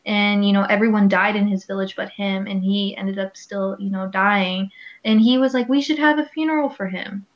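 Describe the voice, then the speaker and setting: A young woman in her twenties co-hosting a casual podcast, animated and laughing easily. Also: mid-range voice, moderate pace. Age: 20s. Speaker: podcast co-host. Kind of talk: casual chat. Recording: slightly dull lo-fi recording.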